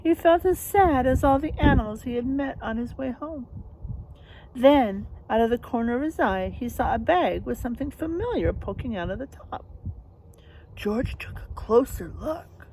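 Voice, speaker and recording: A woman reading a picture book aloud to young children in an expressive, playful manner, with drawn-out emphasis and chatty asides. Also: on the high side, a little hiss or hum, rough recording. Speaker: read-aloud storyteller.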